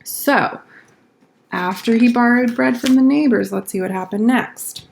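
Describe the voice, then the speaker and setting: Unhurried woman reading aloud to young children. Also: fairly high pitch, gravelly, a little hiss or hum. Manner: instructive, pausing to question and explain to listeners. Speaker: kindergarten teacher. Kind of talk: picture book read-aloud for young children, with explanatory asides.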